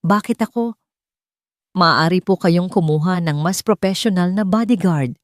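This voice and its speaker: A woman's voice: on the low side, measured pace, no echo, clean studio sound, lightly acted. A woman voicing a wary, respectful female journalist in an audiobook, asking politely and hesitantly. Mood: hesitant, puzzled. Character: wary, respectful young woman journalist, uneasy but brave.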